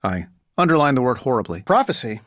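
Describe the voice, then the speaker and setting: A man's voice: medium pitch, big pitch swings, fast talker, a bit bright, no room sound, studio quality. An actor playing a cocky genius armored superhero in a video game, sardonic and glib with his quips. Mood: wry, joking. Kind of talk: video game voice acting, dramatic banter.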